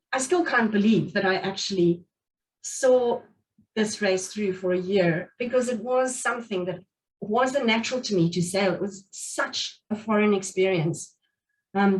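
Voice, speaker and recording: A middle-aged woman whose first language is Afrikaans, giving an earnest, reflective talk at a meetup with many fillers and restarts while she has covid. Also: medium pitch. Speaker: guest speaker. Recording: speaker distant from the mic.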